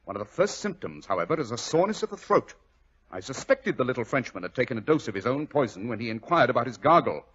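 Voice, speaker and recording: Self-assured British gentleman detective, didactic, animated delivery, muffled 1940s radio sound. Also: mid-range voice, fast talker, breathy edge. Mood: coolly explaining.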